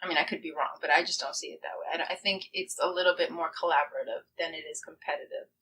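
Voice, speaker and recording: A woman's voice: sits low, fairly even intonation, fast, sharp tone, breathy edge, poor audio. A woman chatting casually and enthusiastically as a guest playwright in an interview. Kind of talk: casual chat.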